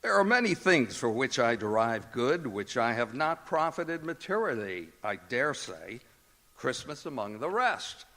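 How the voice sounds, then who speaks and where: An actor playing a cheerful, earnest nephew in a radio drama, speaking politely and declaiming with feeling. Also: male voice, mid-range voice, very animated, a little slow, pushed and bright, exaggerated voice. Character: cheerful, kindly younger nephew, earnest and genial. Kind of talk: stage radio drama acting. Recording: reverberant theatre hall recording.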